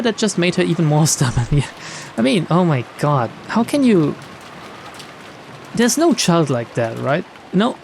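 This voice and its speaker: Expressive high-voiced man reading a visual novel. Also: creaky, a little hiss or hum. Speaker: let's play streamer reading a visual novel aloud. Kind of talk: dramatic narration with casual commentary. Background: game music and rain sounds.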